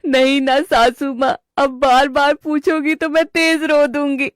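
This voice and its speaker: A woman acts out a young cartoon wife, suspicious and full of complaint. Her voice is high and whiny, with dramatic pleading. The sound is close and dry. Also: level tone, measured pace, no room sound. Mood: tearful complaining. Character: young suspicious, plaintive wife and daughter-in-law.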